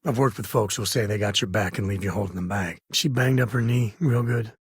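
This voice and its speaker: Husky-voiced American space cowboy. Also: male voice, on the low side, a little fast, no echo, clean studio sound. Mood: wry, easygoing. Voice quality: husky, rasping. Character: rugged, folksy spacefaring cowboy, protective and wry father. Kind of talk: video game character dialogue.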